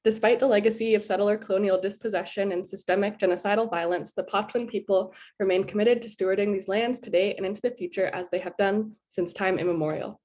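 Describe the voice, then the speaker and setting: A young woman speaks with a slight sniffle from a head cold. She delivers a prepared presentation in an earnest, measured way, with the odd um, at a middle pitch. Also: warm tone. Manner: earnest and measured, with occasional ums. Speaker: graduating fellow presenting her service term.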